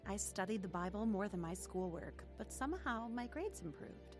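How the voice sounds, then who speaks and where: A woman's voice: medium pitch, expressive, moderate pace, music in the background, natural voice. An actress playing an earnest young woman who reflectively narrates her testimony in a radio drama.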